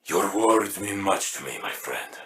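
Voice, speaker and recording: A humble, regretful alien warrior speaks slowly in a deep voice with a bright edge. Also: male voice, lively intonation, slight background noise, exaggerated voice.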